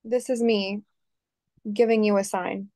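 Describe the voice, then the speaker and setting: A woman's voice: medium pitch, fairly even intonation, measured pace, mellow, no room sound, close to an everyday voice. A woman playing an earnest, yearning actress in love, in a screenplay table reading over video call.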